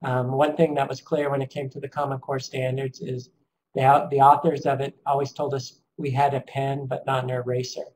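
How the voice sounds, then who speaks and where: A man with a raspy, strained voice presenting an education webinar over a call, pausing often with ums. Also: mid-range voice, level tone, darkish tone, a little hiss or hum, rough recording. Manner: hesitant, many ums, measured.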